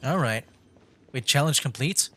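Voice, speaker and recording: A man's voice: medium pitch, lively intonation, unhurried, bright, forceful tone. A man livestreaming a video game, commenting casually and reading dialogue choices aloud. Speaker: gaming livestreamer.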